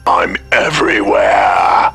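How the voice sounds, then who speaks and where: A man voicing a menacing unseen being that stalks people over radio waves, speaking in slow, drawn-out taunts. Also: sits low, huge pitch swings, creaky, pushed and bright, slightly rough, heavily acted. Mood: taunting, menacing. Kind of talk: horror web series acting. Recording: music behind, heard over radio.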